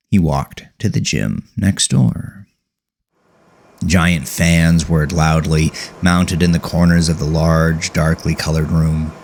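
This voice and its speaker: A man narrating a scripted dark horror story in a grave, measured, dramatic way, drawing out his words. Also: sits low.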